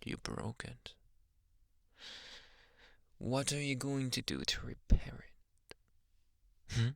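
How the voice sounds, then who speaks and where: A man playing a jealous, possessive, unhinged young anime boyfriend in an ASMR roleplay, slipping between low speech and breathy whispers, menacing yet coaxing, close to the mic. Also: no echo. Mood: accusing, menacing. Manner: menacing, teasing, drawn-out coaxing endearments. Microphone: close-up ASMR microphone, dry.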